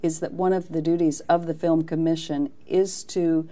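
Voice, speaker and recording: A woman's voice: deep voice, flat delivery, moderate pace, dark tone. A woman hosting a local TV interview, chatty and encouraging, often affirming her guest. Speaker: TV interview host.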